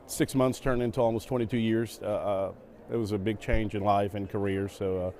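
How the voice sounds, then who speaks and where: A middle-aged man from Venezuela speaks on a conference stage as an interview guest. His voice is a little low and warm, and he talks in a relaxed way, his English, which is not his first language, full of fillers and restarts. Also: brisk. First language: Spanish. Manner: relaxed, with many fillers and repeated words. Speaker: guest, operations executive.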